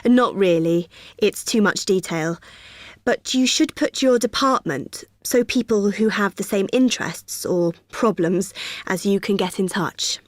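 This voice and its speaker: An actress playing a friendly student union receptionist who explains a form patiently in a scripted exam dialogue. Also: female voice, mid-range voice, a little slow, dry recording, lightly acted. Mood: helpful. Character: cheerful young female union helper.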